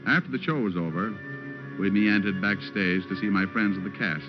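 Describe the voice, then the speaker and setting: Male actor as wisecracking detective, low voice, American accent, deadpan, old-time radio style, muffled recording, music bed. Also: moderate pace, natural voice. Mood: easygoing, dry. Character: wisecracking hard-boiled private detective narrating his own case. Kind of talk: 1940s-50s radio detective drama acting.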